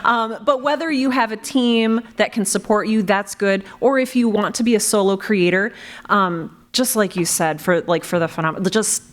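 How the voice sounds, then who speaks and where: An animated woman who makes podcasts, chatting casually to a live panel audience, self-deprecating and quick to laugh. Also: mid-range voice. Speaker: podcast creator and panel moderator.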